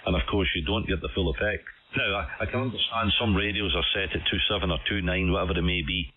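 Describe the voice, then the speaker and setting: A man with a deep voice chats casually as an amateur radio operator. He comes through a single-sideband transmission that is narrow and muffled, with a faint hiss behind his words. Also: fast talker. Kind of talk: casual ham radio chat. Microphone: studio condenser microphone.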